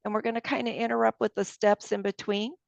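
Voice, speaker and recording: A woman's voice: on the high side, level tone, fast talker, creaky, no room sound. A woman leading a cooking class, walking viewers through each step aloud as she works. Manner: friendly, explains each step aloud while working. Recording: dull lo-fi sound.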